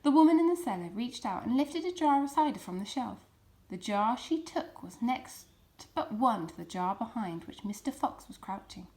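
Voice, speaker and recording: High-pitched woman reading a children's story. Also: animated, moderate pace, darkish tone. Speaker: storybook reader. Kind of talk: expressive children's story narration.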